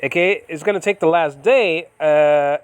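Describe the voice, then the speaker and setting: Male ministry host, somewhat higher voice, casual meandering Bible study delivery. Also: clean tone, dry recording. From United States. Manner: rambling, halting, lifts pitch and volume when reading scripture. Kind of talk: informal Bible study talk. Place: home in the Philippines.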